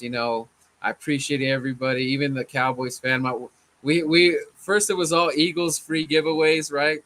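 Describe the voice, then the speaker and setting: A man hosting a casual sports fan chat, his voice a little hoarse from chanting, laid-back and often saying "man". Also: mid-range voice, pushed and bright. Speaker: fan channel host.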